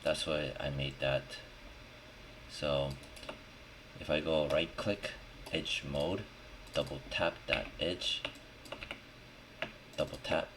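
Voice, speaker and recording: A man giving a casual software tutorial, thinking aloud informally as he works and often checking in with the listener. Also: extremely low pitch, steady tone, takes it slowly, pauses often. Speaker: 3D modelling tutorial instructor. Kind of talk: casual screen-recorded software tutorial, talking while working. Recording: clearly noisy, with keyboard and mouse clicks. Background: background noise, typing and clicking.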